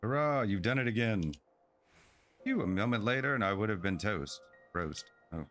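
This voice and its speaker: A man's voice: lowish voice, lively intonation, normal speed, a bit bright, soft background music. A man reading aloud as a small, playful pixie sidekick, joking lightly as he goes. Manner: reads game dialogue aloud with light joking.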